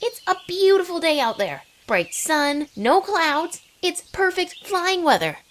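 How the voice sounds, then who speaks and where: A high, brash young cartoon pony mare, played by a woman, sporty and impatient. Her pitch leaps about a great deal and her delivery is casual and blunt. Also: very animated, measured pace, slight background noise, heavily acted. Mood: restless.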